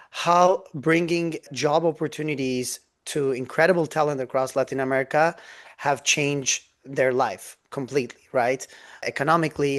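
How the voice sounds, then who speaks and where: A man, a guest on a business podcast, telling his story in an earnest, eager way over a video call. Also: a little high. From Turkey. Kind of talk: interview storytelling.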